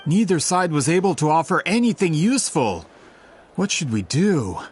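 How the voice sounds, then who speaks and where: Polite story collector, careful and hesitant, lively slightly high voice. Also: male voice, normal speed, a little hiss or hum. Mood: earnest. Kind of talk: video game voice acting.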